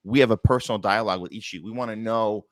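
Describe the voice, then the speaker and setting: American guy hosting a chatty community show, kinda low voice, dry sound, upbeat and informal. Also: fairly even intonation, rapid-fire, dry recording. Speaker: host of an arts community show. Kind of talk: casual chat with announcements.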